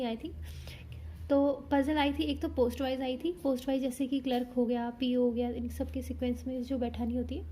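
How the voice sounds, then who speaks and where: A young woman from India casually talking through her exam, a little hoarse from a sore throat, chatty and explanatory with frequent self-corrections. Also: high voice, mellow, a little hiss or hum. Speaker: exam candidate reviewing her paper. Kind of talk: casual vlog talk.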